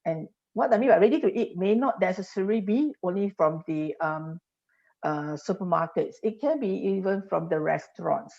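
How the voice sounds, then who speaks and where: A woman in her forties talks through a podcast interview, laying out example after example and restarting her sentences as she goes. Her fairly low voice has a gravelly edge and a mellow, dark tone. The audio is dull and lo-fi. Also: normal speed. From Singapore. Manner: explanatory, stacks examples, frequent restarts. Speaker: industry guest.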